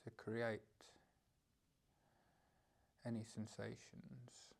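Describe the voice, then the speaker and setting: A man leading a guided meditation as its teacher, speaking in unscripted, hesitant phrases with gentle pauses. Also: fairly low pitch, monotone, creaky.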